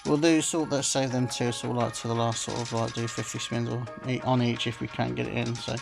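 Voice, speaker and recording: A man's voice: mid-range voice, level tone, brisk, vocal fry, low-quality recording. A man casually commenting while playing a slot game, rambling and filling gaps with "sort of" and "like I say", sounding a bit phlegmy. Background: game music.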